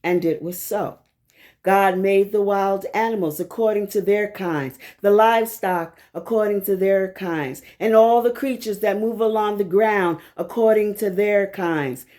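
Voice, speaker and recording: Female scripture reader, mid-range pitch, unhurried pace, solemn and measured phrasing. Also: a little mellow. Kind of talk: measured scripted Bible reading.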